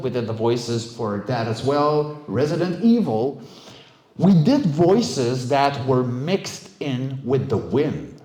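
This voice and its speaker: A man's voice: on the high side, a little mellow. Middle-aged man giving a talk, echoey room. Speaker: voice actor, director and coach giving a talk. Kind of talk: informal conference talk, career anecdotes. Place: indoor event room, live talk. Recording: roomy, echoey live talk recording.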